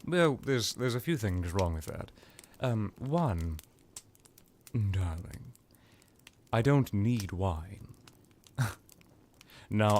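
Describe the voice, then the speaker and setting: A man playing a suave, menacing vampire lord in an ASMR roleplay, musing languidly with drawn-out words and condescending affection. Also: on the low side, vocal fry, a little mellow, a little hiss or hum. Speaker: character roleplay performer. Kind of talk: ASMR villain roleplay monologue.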